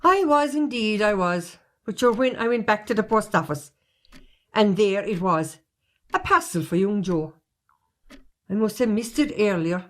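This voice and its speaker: A woman's voice: mid-range voice, lively intonation, unhurried, lightly acted. A woman acting a chatty, nosy Irish post carrier in an amateur drama, with a folksy, roundabout way of talking. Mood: breezy.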